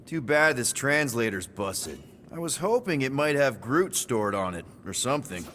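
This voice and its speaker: A man's voice: mid-range voice, big pitch swings, normal speed, natural voice. A man plays an easygoing crew leader from a space video game. His voice sits in a middle range and he talks in friendly, rambling slang, with faint noise behind him. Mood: curious, awkward. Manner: casual, rambling, boyish slang.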